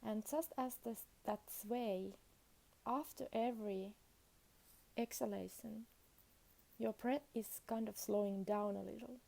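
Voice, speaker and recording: A woman guides a meditation at a very unhurried pace. Her voice is soft-edged and dark, with a noticeable fry in it. She speaks in short, soothing phrases and leaves generous space between them. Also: fairly high pitch, steady tone.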